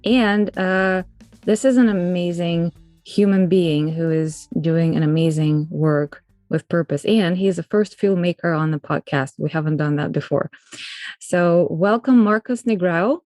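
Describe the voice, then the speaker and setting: Low-voiced woman hosting an interview podcast. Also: a little slow, a little mellow, dry recording, studio quality, faint music behind. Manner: friendly, laughs easily, some fillers. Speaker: podcast host. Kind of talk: casual interview talk.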